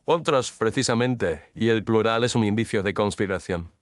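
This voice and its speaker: A man's voice: on the low side, moderate pace, no echo, natural voice. A man playing a cool, exacting detective who speaks in precise, commanding pronouncements. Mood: measured. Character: cool, exacting male detective, middle-aged. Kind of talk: radio drama acting.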